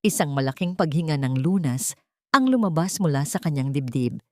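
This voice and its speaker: A woman reading a romantic drama story in a steady, dramatic storytelling manner. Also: sits low, normal speed, dry recording, clean studio sound. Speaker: narrator. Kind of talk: scripted story narration.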